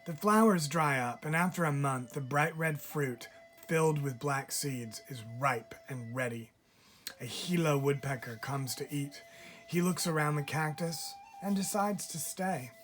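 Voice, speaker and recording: Man reading a children's storybook, faint music behind. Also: a little high. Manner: measured, expressive storytelling with deliberate pauses. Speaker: teacher reading aloud. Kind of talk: scripted read-aloud of a children's picture book.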